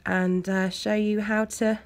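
A woman's voice: medium pitch, little pitch movement, takes it slowly. Chatty English woman with a cold. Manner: chatty, loose, lots of fillers. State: hoarse with a cold. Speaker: nail technician. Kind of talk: step-by-step tutorial narration.

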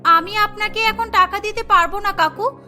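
A very high, bright schoolgirl voice , put on by a woman, cheeky and scheming. She wheedles brazenly like a cartoon kid, with music underneath. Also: fairly even intonation, normal speed, heavily acted. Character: cheeky, scheming schoolgirl crazy about biryani. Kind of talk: cartoon story acting.

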